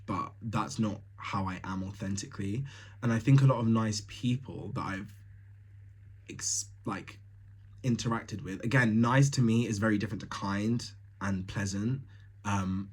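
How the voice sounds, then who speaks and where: A man's voice: fairly low pitch, slightly rough. A young man from Tanzania hosting a solo podcast, talking casually and bluntly, laughing often with lots of fillers. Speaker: podcast host.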